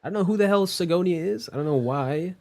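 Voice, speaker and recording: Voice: mid-range voice, expressive, quick pace, darkish tone. A streamer giving casual, joking gameplay commentary in a sarcastic, sweary manner. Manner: sarcastic, profane. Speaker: streamer playing a story game.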